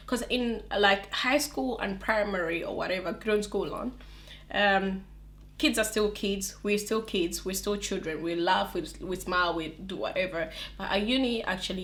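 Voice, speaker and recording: A woman's voice: medium pitch, fairly bright tone. A young woman with an accent, chatting animatedly to her camera, laughing often and restarting her sentences. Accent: non-native English accent. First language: English counts as mother tongue, not from England or America. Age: young adult. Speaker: vlogger. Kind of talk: casual talk to camera.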